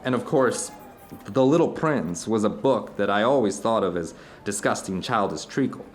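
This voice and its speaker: A man's voice: lowish voice, expressive, normal speed, faint music behind, lightly acted. An actor playing an introspective man who tells uncanny personal anecdotes to an old friend in an audio drama, rambling, earnest and emphatic. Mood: earnest wonder. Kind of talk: audio drama dialogue, conversational monologue.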